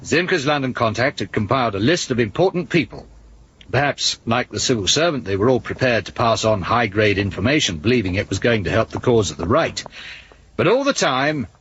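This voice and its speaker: A man's voice: medium pitch, sing-song, brisk, a bit bright. An actor playing a dogged, indignant male police inspector who argues insistently, in a 1970s British radio drama. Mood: pressing, accusing. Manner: insistent, accusatory. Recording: dull, lightly noisy 1970s radio recording.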